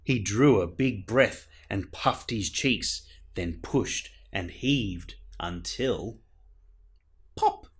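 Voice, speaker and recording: A man reading a children's picture book aloud as a storyteller, in a theatrical manner with dramatic pauses. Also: fairly low pitch, animated, breathy edge. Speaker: storyteller, show host.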